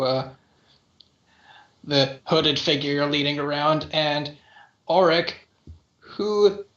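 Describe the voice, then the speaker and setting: A man narrating a tabletop game as game master, halting with many pauses and fillers. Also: fairly high pitch, a bit bright. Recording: roomy, dull lo-fi audio.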